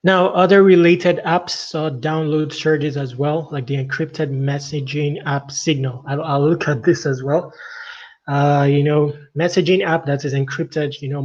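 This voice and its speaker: A middle-aged man hosts a casual livestream commentary on a dull, lo-fi microphone. His mid-pitched voice moves in a halting, rambling way, leaning often on verbal tags. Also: a little mellow. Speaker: livestream host. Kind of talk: casual tech news commentary to viewers.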